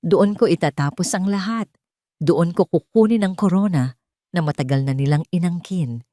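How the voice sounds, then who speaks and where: A woman's voice: on the low side, moderate pace, warm tone, dry recording, studio quality. A woman reading a dramatic first-person story as an audio narrator.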